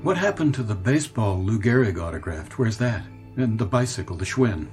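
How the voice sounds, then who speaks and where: A man's voice: lowish voice, animated, a little mellow, lightly acted. An actor playing a troubled, nostalgic grown man longing for his boyhood in a radio drama, earnest and wistful. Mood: wistful, imploring. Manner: earnest, wistful, halting when distressed. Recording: radio drama with music behind.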